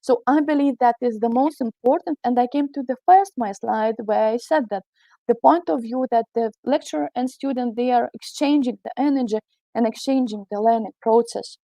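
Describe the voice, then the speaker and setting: A woman's voice: on the high side, normal speed, creaky, dark tone, no echo, rough recording. A woman with a Lithuanian accent giving a conference talk as a university lecturer, hesitant and full of fillers and restarts. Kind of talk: conference presentation.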